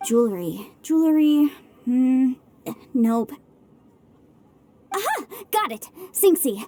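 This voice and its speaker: A woman's voice: high-pitched, very animated, slow, warm tone, exaggerated voice. A woman playing a clever, confident young legal advisor in a video game, articulate and precise with formal phrasing. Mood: thoughtful, brisk.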